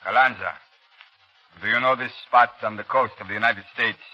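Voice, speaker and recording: An actor playing a ruthless, imperious general with clipped delivery in a 1930s radio drama. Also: male voice, mid-range voice, fairly even intonation, moderate pace, pushed and bright. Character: commanding, ruthless military general. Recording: dull vintage 1930s radio recording.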